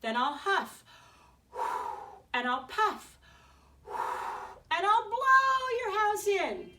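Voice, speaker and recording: Lady doing the big bad wolf, sly and hungry, loud and high, drawn out, kinda far from the mic w/ faint hiss. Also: sing-song. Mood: threatening. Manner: booming, drawn-out threats and wheedling. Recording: distant mic, faint background noise.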